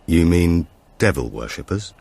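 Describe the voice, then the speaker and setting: Reluctant young burglar read by a man: very low voice, guarded, terse, for a thriller audiobook. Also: sing-song, a little slow, vocal fry, darkish tone, full character voice. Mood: wary. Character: wary, reluctant young male small-time burglar.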